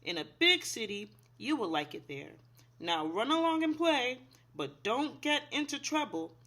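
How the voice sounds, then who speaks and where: A woman reads the part of a storybook guardian, a man who is kindly but firm. The delivery is bright and unhurried, with lively pitch, as he gives instructions. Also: heavily acted.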